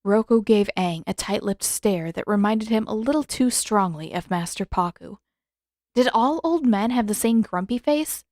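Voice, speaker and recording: A woman's voice: mid-range voice, animated, moderate pace, darkish tone, no room sound. Female audiobook narrator, middle register, scripted fiction read, dry sound. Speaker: narrator and author reading fan fiction.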